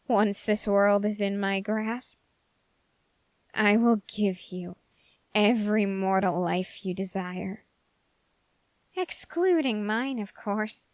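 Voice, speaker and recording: Woman voicing a slow, deliberate, ambitious young man. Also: mid-range voice, dry recording, lightly acted. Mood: scheming, bargaining. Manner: deliberate, halting, formal phrasing with drawn-out pauses. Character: ambitious, dark-hearted young male human bargaining with a demon. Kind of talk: ASMR roleplay acting.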